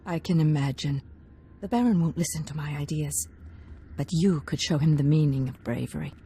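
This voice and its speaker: Gentle noble lady, low mellow voice, coaxing, game cutscene. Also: normal speed, husky, full character voice. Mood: earnest, pleading. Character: earnest, seductive noblewoman, baron's discontented wife. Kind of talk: scripted video game acting.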